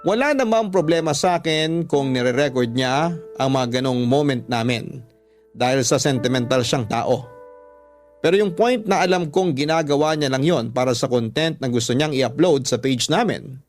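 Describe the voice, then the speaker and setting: A man's voice: medium pitch, normal speed. Filipino male radio storyteller over music. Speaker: storyteller host reading a listener's letter. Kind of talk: radio-style scripted love story narration. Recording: music playing behind.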